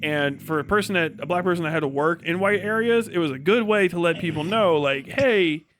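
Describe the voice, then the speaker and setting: A man's voice: on the high side, brisk, a bit bright. A man live streaming a casual, opinionated monologue in a profane, slangy, rambling and emphatic way.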